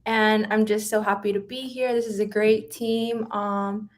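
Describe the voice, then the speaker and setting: A woman with a steady, fairly flat intonation talks in an upbeat, informal way on a video call, scattering little filler words through her speech. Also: mid-range voice, a little slow. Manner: upbeat, filler-heavy youthful chat. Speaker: social media manager. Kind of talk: casual team introduction.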